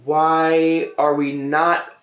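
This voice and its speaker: Animated young man in a roomy space. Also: on the high side, very slow. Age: 20s. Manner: animated, expressive and chatty. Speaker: vlog co-host. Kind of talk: casual chat over wine. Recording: roomy sound with faint music.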